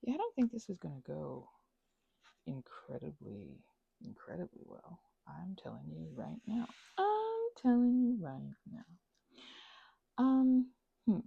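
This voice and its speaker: A woman rambling casually as she thinks aloud over a craft, halting and murmuring to herself, with playful asides to listeners. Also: on the low side, huge pitch swings, creaky, breathy edge, no echo, narrow, tinny audio. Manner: halting, drifts into murmurs and self-talk. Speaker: ASMR and audio creator.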